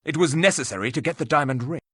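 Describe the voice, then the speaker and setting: A man voicing a stern, humorless, gruff hired warrior in a video game, with a husky voice and a curt, scornful way of speaking. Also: a little high, fast talker, sharp tone, no room sound, studio quality. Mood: dry.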